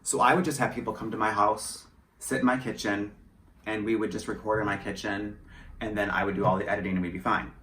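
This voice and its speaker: A man's voice: fairly low pitch, little pitch movement, quick pace, pushed and bright. An American man from rural Michigan talking candidly and with opinions in a casual interview. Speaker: audio drama writer, producer and drag performer. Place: indoor home studio. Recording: roomy, distant mic, faint background noise.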